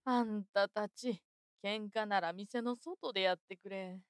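ボイスドラマで、世話好きで気さくな中年の女店主を演じる女性。. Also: female voice, medium pitch, fairly even intonation, unhurried, no room sound, clean studio sound, faint music behind, close to an everyday voice. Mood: annoyed. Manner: homely, auntie-like speech. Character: kindly, hearty middle-aged woman shopkeeper. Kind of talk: Japanese voice drama acting.

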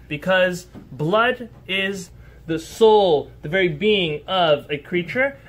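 A rabbi giving an earnest, emphatic Torah lesson to a small live group, posing rhetorical questions and answering listeners' remarks on the fly. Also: male voice, sits high, a bit bright.

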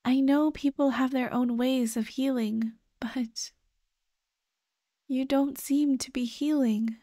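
A young woman voicing the gentle, grieving ghost of a dead girlfriend in an ASMR roleplay, speaking tenderly and pleadingly. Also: high-pitched, fairly even intonation, no room sound, studio quality. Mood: wistful, tender. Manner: slow, tender, sighing, pleading. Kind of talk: soft ASMR roleplay acting.

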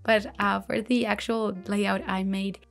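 A woman narrating a voiceover about her journaling, chatty and self-reflective, her voice going slightly hoarse. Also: mid-range voice, measured pace. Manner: relaxed, chatty, self-reflective, light laughs. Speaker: bullet journal creator. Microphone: voice-over recorded separately. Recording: soft background music.

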